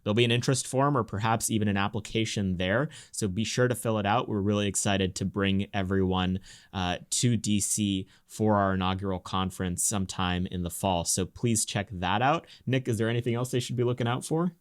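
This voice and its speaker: Joking male podcast host, lowish voice. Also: fairly quick. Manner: joking, teasing banter. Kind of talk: casual podcast talk.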